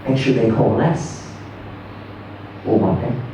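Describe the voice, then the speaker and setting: A man reading a first-person short story aloud in a conversational yet theatrical way, with deliberate pauses. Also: mid-range voice, dark tone. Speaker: reader performing a short story. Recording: roomy, echoing, distant mic with faint noise.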